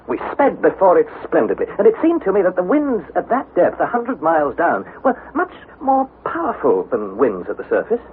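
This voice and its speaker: An actor playing an earnest young nephew, narrating an expedition with measured, dramatic storytelling in a 1960s radio drama style. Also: male voice, high voice, huge pitch swings. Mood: solemn narration. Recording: narrow-band, slightly noisy vintage radio recording.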